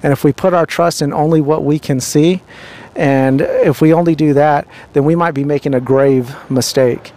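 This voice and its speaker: A man's voice: on the high side, steady tone, moderate pace, darkish tone, slight background noise. A young man with a handheld mic interviewing people outdoors on a city street, talking earnestly and preachily with many fillers and restarts.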